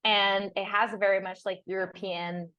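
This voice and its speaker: A young woman with French as her first language talks casually and cheerfully, laughing as she goes and catching herself mid-word. Her voice sits at an ordinary middle pitch. The sound is dry and close, with almost no room around it. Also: little pitch movement, normal speed, dry recording, muffled recording. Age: young adult. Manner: chatty, laughs often, restarts words. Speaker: guest. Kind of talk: casual interview chat. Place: on a sailboat.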